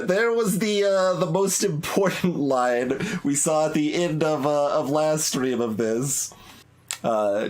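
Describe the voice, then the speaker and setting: A man giving casual, rambling playthrough commentary as a video game streamer, musing aloud as he goes. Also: fairly high pitch.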